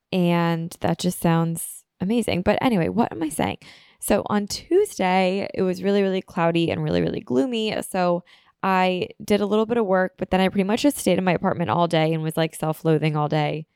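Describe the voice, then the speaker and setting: Woman in her twenties, low gravelly voice, rambling solo podcast chat, a bit sniffly, dry close sound. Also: darkish tone, dry recording. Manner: rambling, chatty, filler-heavy. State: slightly sniffly from a cold. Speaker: podcast host.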